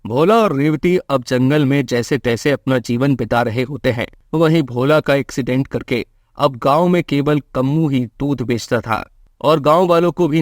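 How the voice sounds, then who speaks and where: A man narrates a scripted moral story for children. His voice has an average male pitch, and the recording is dry, with hardly any room sound. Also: dry recording.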